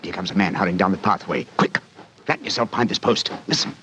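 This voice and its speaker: An actor playing a brisk, commanding English detective with a British accent and precise manner in a 1940s radio drama. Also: male voice, sits low, close to an everyday voice. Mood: urgent. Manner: decisive, precise. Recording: noisy old radio recording.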